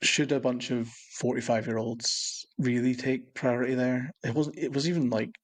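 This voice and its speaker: Guy on a footy podcast, flat delivery, raspy husky voice, matter of fact breakdown. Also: medium pitch, moderate pace. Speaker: sports podcast co-host. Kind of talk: casual football discussion.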